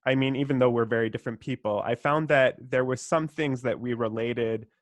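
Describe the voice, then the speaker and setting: Casual Canadian podcast host, dry sound. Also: male voice, medium pitch, fairly quick, dry recording. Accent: Canadian English. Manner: casual, rambling questions. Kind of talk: podcast interview.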